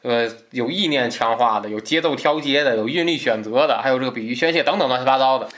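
A man co-hosting a discussion, explaining points casually in a halting, repetitive, loosely listed way. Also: medium pitch, fairly bright tone. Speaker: podcast co-host explaining a novel.

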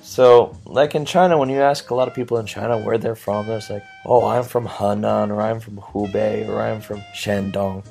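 An American man answering interview questions in a relaxed, explanatory way. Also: fairly low pitch, normal speed, creaky, hardly any pauses, soft background music. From United States. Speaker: guest.

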